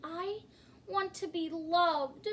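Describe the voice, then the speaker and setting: A woman's voice: extremely high pitch, steady tone, very slow, slight background noise, voice pushed up, full character voice. A woman voicing a timid, tearful male dragon in a children's storybook, with a sighing, pleading delivery.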